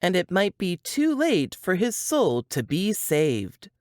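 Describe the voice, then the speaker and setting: Animated reader of a dramatic book blurb. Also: mid-range voice, slow, dry recording, clean studio sound. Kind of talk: scripted narration.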